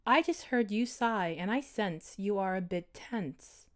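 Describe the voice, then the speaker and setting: A woman voicing a calm, kindly female owl, a gentle and sympathetic listener in a storybook tale. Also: mid-range voice, pitched above the performer's own voice, lightly acted. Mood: calm, curious. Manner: soothing, sympathetic, unhurried. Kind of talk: acted storybook character dialogue.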